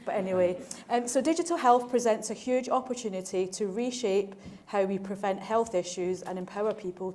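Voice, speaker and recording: A woman's voice: fairly low pitch, a little mellow. A woman in her forties chairing a live panel discussion, chatty and jokey with lots of ums and uhs. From not from Manchester. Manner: chatty, jokey, with frequent fillers.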